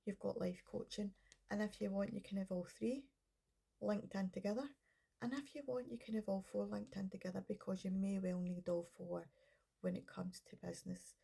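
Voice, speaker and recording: Woman, middle-aged, bunged up with a cold, low gravelly husky voice, rambling casual coaching chat. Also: dark tone. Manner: rambling, chatty, self-interrupting. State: congested with a cold. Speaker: business coach and counsellor. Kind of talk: casual vlog talk to camera.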